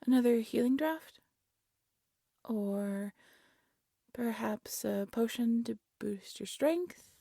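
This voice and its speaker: A woman playing a devoted, lovesick exiled alchemist in an intimate fantasy audio roleplay, tender and soft-spoken, often dropping into a whisper. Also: fairly high pitch, slow pace, creaky, pauses often, no room sound, clean studio sound, exaggerated voice. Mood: fond, playful. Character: devoted, lovesick exiled alchemist practising dark magic, gentle.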